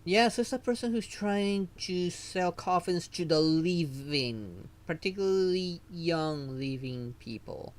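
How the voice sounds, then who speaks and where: A woman's voice: on the low side, lively intonation, takes it slowly, few pauses, darkish tone. A woman giving casual, off-the-cuff commentary while she plays through a story-driven game. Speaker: streamer reacting to a game.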